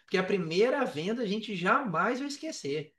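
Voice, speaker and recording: A man with a Brazilian accent in casual podcast chat, talking in a slangy, animated storytelling way. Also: sits high, moderate pace, a little hiss or hum. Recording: roomy echo, distant from mic.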